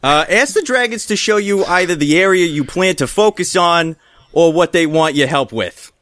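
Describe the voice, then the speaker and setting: Podcast co-host, male, reads in a bouncy pitchman style, a little high, dry mic. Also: expressive, moderate pace, fairly bright tone, no room sound. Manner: mock pitchman delivery while reading. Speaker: podcast co-host reading an article aloud. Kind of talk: comedic podcast reading with banter.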